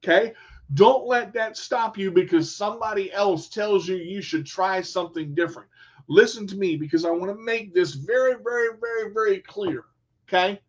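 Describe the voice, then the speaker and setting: A man with a high, bright-sitting voice hosts a radio talk show, speaking in an emphatic motivational way. He repeats his key lines and keeps checking in with listeners. The recording is dull and lo-fi. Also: normal speed. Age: adult with a son. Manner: emphatic, repetitive, talks straight to listeners. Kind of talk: motivational monologue.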